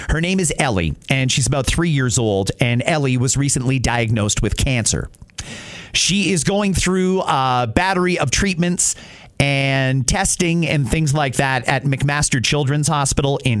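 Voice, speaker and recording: A middle-aged man, a radio-trained podcast host talking casually and directly to listeners in short conversational phrases. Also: medium pitch.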